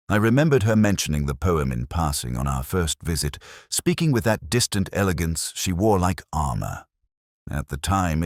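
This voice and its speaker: A man playing a brooding, weary middle-aged police inspector who narrates his case in a husky, measured, solemn, literary way. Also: very deep voice, no room sound, studio quality, heavily acted. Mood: reflective, melancholy. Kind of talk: scripted first-person detective narration.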